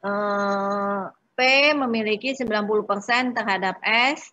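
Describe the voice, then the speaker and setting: A woman working through exercises as an accounting instructor in an informal step-by-step lecture, often pausing and adding short check-in tags. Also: mid-range voice, fairly even intonation, bright, forceful tone, dry recording, highs cut off.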